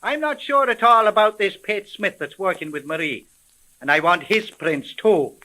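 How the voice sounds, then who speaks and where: A man playing a wisecracking, commanding homicide sergeant in a 1930s radio drama, with colloquial, brisk speech. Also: high-pitched, bright, forceful tone, rough recording. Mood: bossy, impatient. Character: gruff, wisecracking male police homicide sergeant.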